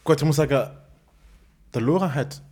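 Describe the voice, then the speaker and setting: A man's voice: a little high, moderate pace, husky. A man, telling stories in a casual chat with laughs. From Congo. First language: French. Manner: animated storyteller, laughs often. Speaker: guest.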